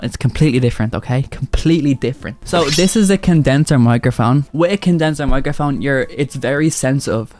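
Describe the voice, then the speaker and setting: A man giving casual video commentary as a YouTube host, with animated jumps in pitch for emphasis.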